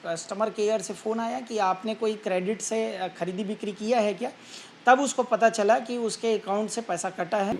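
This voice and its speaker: A woman giving an unscripted interview statement, halting and matter-of-fact. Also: medium pitch, fairly even intonation. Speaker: interviewee explaining a case. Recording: faint ambient noise.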